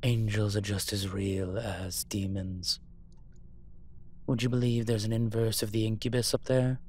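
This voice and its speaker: Male actor as suave incubus professor, lowish voice, very slow measured delivery, wry, teasing, roleplay audio drama. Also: little pitch movement, a little mellow.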